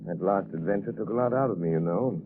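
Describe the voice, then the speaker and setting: Guy doing a smooth rich detective in an old radio show, very deep voice, wry, hissy tinny sound. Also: quick pace, close to an everyday voice. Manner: urbane, wry. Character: suave wealthy amateur detective, dry wit. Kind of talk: 1930s radio drama acting.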